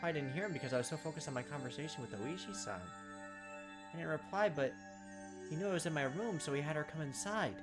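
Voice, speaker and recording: A man's voice: a little high, lively intonation, fast talker, over music. A man hosting a gaming video, reading visual novel narration aloud dramatically with casual asides.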